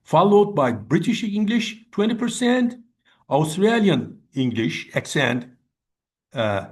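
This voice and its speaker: A man with a Turkish accent and Turkish as his first language, giving an academic conference presentation in a measured way, often restating points. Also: sits high, very animated, a little mellow. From Turkey. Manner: measured, restates and rephrases with "I mean".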